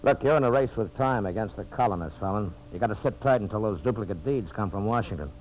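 Scheming tycoon, played by a man: American, low, rapid and insistent, animated, muffled vintage radio. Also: slight background noise, lightly acted. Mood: pushy, calculating. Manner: brisk, insistent. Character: pushy, scheming moneyed businessman. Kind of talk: 1950s radio drama acting.

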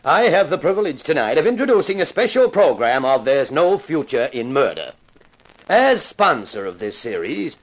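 An actor playing a pompous, easily flustered department store boss in a 1950s radio drama, formal and blustering. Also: male voice, a little high, rough recording. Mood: stiff, formal.

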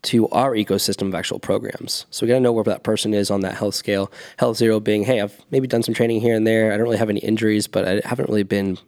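A man's voice: fairly low pitch, fairly even intonation, fast, few pauses, darkish tone. A man in his thirties, a fitness coach co-hosting a podcast, explaining earnestly in a casual chat with restarts.

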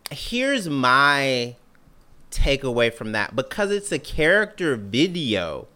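A man's voice: medium pitch, big pitch swings, slow, bright, forceful tone, clean tone. A man co-hosting a casual comedy chat, talking frankly with casual swearing.

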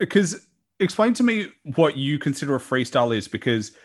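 A man's voice: a little high, very animated, moderate pace. A man hosting a casual interview, asking informal, wordy questions peppered with "like". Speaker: podcast host interviewing a guest.

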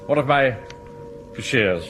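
An actor playing a pompous, officious middle-aged English bank manager and home guard captain in a radio sitcom, with a clipped way of talking. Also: male voice, mid-range voice, expressive, a little slow, music in the background, natural voice. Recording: noisy old radio comedy recording.

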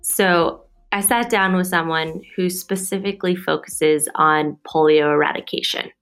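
A woman hosting a podcast, introducing her guests in measured, scripted narration. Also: lowish voice, fairly bright tone, smooth. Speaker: podcast host. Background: music.

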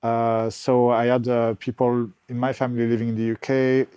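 A man from France with a French accent, speaking in a podcast interview as a real estate agent, pausing often and restarting his sentences. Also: mid-range voice, monotone, mellow, clear, resonant tone. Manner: halting, many restarts and fillers. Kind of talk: conversational interview.

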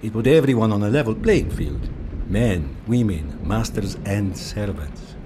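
Masked Venetian artisan, deep voice, Italian accent, courteous, faintly menacing, deliberate, audio drama, some noise behind. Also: male voice, animated. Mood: proud, beguiling. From Venice, Italy.

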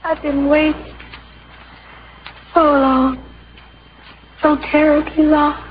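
A woman's voice: sits high, little pitch movement, slow pace. An actress playing a frail, dying young woman, loving and resigned, with a weak, lingering 1950s radio drama delivery. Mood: weak, sorrowful. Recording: muffled old radio broadcast, faint noise.

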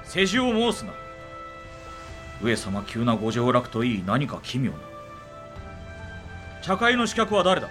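A man's voice: medium pitch, huge pitch swings, a little slow, long pauses, fairly bright tone, soft background music. A man playing a wary, suspicious middle-aged warlord in a period drama, with archaic, lordly samurai speech. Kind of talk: Japanese period drama acting.

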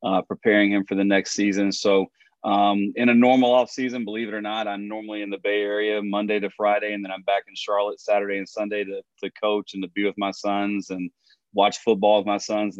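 A hoarse man in his forties, a basketball trainer and podcast guest, talking earnestly and often repeating words. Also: on the low side, dry recording, lo-fi sound.

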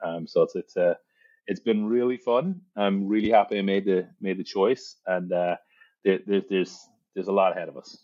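Deep-voiced Irish man, dull recording. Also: fairly even intonation, normal speed, darkish tone. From Ireland. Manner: friendly, restarts words often. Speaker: guest, services executive. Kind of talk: conversational interview.

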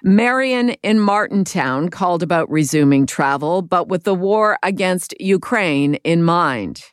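A woman hosting a radio show, reading scripted links between segments in a crisp, measured way. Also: fairly low pitch, expressive, dry recording, studio quality. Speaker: radio host.

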